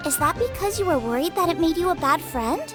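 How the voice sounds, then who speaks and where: A cheerful young girl cook from a video game, pitched very high and swinging widely as she talks. She is earnest and reassuring, and music plays behind her. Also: expressive, fast. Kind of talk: animated game voice acting.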